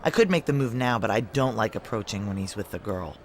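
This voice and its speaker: A woman's voice: rumbling low, lively intonation, fairly quick. An actress playing a brash, foul-mouthed, hard-boiled woman operative, wry and blunt, in a noir audio drama. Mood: wry, matter-of-fact.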